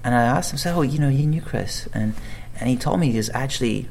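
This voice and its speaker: A man's voice: medium pitch, fast talker, vocal fry, a little mellow, a little hiss or hum. A man, an actor, chatting casually in an interview, rambling with many restarts and fillers. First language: English. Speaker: actor guest.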